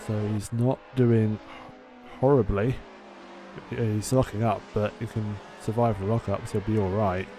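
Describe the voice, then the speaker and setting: A man's voice: lowish voice, flat delivery, a little slow, noisy background. A man giving live sim racing commentary in a chatty, casual way.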